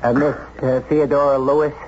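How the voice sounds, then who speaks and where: An actor playing a hesitant, folksy county sheriff in a 1970s radio drama. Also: male voice, medium pitch, flat delivery, fairly quick, lightly acted. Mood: awkward, polite. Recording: dull vintage radio recording, faint noise.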